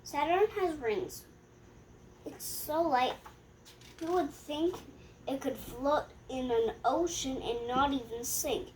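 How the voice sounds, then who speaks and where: High-pitched young girl reading slowly aloud. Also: a little mellow, a little hiss or hum, low-quality recording. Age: child. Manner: halting read-aloud with stumbles and self-corrections. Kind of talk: reading a rhyming picture book aloud.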